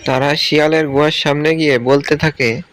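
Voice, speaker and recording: A man's voice: a little high, fairly even intonation, normal speed, a bit bright, dry recording. A man narrating a scripted children's animal story as the storyteller.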